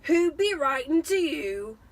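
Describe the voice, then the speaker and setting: A woman voicing a bullying, blustering middle-aged uncle in a dramatic storybook reading, with a shouting, sneering manner. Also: high-pitched, very animated, slow, pitched above the performer's own voice, exaggerated voice.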